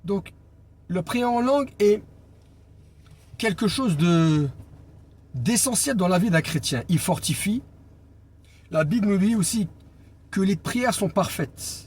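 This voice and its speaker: A man preaching an informal Bible teaching as an evangelist, speaking deliberately and pausing on key phrases for emphasis. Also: high-pitched, lively intonation, a little mellow. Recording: clear background noise and ambience.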